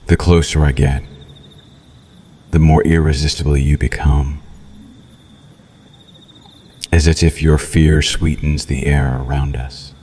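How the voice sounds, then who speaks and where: A man's voice: very deep voice, a little mellow. A man playing a cruel, seductive vampire in an ASMR roleplay, taunting the listener with formal, theatrical menace. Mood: hungry, seductive. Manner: slow, theatrical, mocking, menacing purr with formal diction.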